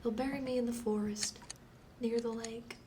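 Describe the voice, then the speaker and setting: A woman performing an intimate radio play monologue as a dreamy, obsessively lovestruck woman, breathy and drifting into whispers. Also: fairly high pitch, steady tone, normal speed, dark tone, a little hiss or hum, natural voice. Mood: anxious, grieving. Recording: music under the voice. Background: music.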